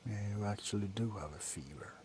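A middle-aged man playing a grumpy, drunk doctor in an ASMR roleplay, grumbling sardonically close to the mic between soft talk and whispers. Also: lowish voice, expressive, fast talker, background noise, lightly acted. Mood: grumbling, sardonic. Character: grumpy, drunk male doctor on a Christmas house call. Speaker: ASMR performer. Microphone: very close to the face.

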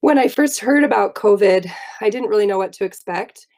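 A woman's voice: mid-range voice, a little fast, darkish tone. A woman with a cold, speaking on a video call as a student on a panel. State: has a cold. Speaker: nursing student. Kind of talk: panel talk.

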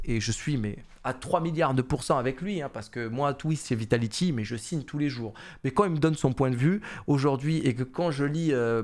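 A man, casually commentating on esports and paraphrasing an interview in an animated, colloquial, repetitive way into a close studio mic. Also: mid-range voice. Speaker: esports commentator and content creator. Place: small home studio room indoors. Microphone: close boom-arm studio microphone.

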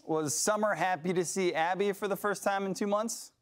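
A man's voice: high voice, fairly even intonation. A man anchoring a studio news show, upbeat and jokey as he chats with a guest. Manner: upbeat, jokey, quick banter.

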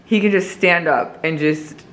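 A woman's voice: on the low side, measured pace, a bit bright, poor audio. A woman vlogging from her home kitchen, chatting and rambling with frequent laughs. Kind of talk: casual chat to camera.

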